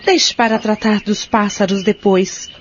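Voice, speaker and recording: A woman acting a brisk, polite care home attendant in a Brazilian Portuguese audio drama. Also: medium pitch, steady tone, background noise, lightly acted. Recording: dull audio with birds chirping.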